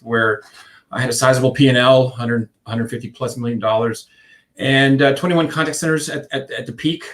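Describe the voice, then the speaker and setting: A man in his fifties from Iceland, a contact centre consultant answering interview questions in a rambling way, full of restarts and fillers. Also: medium pitch.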